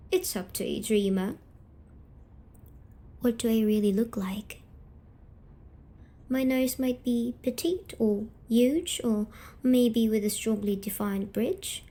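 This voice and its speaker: A woman voicing a gentle, lonely, wounded female demon in an intimate ASMR roleplay, coaxing and half-whispering. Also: on the high side. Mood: coy, teasing. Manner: slow, coaxing, half-whispered, with musing hums.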